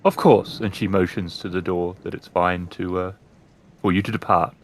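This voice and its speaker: A man's voice: sits low, steady tone, moderate pace. A man narrating scene descriptions as the game master of a tabletop game.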